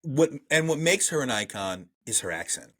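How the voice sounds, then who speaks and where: Blunt Brooklyn man, bright voice. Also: mid-range voice, sing-song, normal speed, no echo, studio quality. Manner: blunt and profane, reads trivia aloud. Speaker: podcast co-host. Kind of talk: casual movie review chat.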